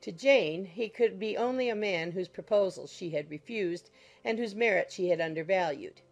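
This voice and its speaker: A woman reading a classic novel as an audiobook narrator, in measured, formal storytelling style. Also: medium pitch, creaky. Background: music under the voice.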